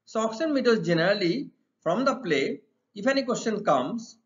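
Indian-accented male teacher, swooping lively pitch. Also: moderate pace. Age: middle-aged. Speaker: English teacher. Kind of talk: exam lesson, reading questions and explaining answers. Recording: dull lo-fi recording.

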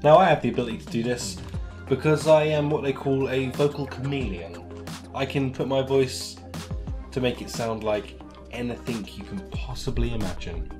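A man's voice: mid-range voice, moderate pace, music in the background. A man in his thirties chatting casually to camera as he reviews products, in a joking, rambling, mock-dramatic way. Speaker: vlogger reviewing novelty products. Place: small room at home, indoors.

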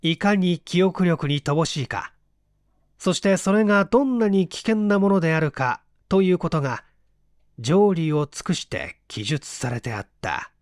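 A man reading fiction aloud as an audiobook narrator, in a measured, dramatic storytelling style. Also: on the high side, lively intonation, no room sound, clean studio sound. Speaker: audiobook reader.

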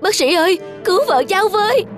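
A woman's voice: very high voice, lively intonation, fast talker, music in the background. A woman voicing an anxious, devoted young husband in a cartoon melodrama. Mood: pleading, desperate.

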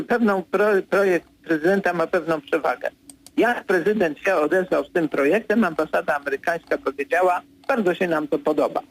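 An older man, a political commentator, answering as a guest in a radio studio interview, hesitant with many fillers, then firm and brisk. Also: fairly high pitch, vocal fry, low-quality recording.

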